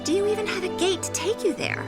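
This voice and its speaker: High-voiced skeptical young woman. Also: fast talker. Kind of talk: video game cutscene acting. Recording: loud music behind.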